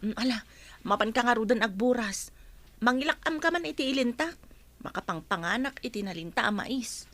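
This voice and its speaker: A woman's voice: on the high side, expressive, brisk, fairly bright tone, no room sound. A woman playing a patient, reasoning wife in a radio drama, speaking with an Ilocano accent. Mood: obliging.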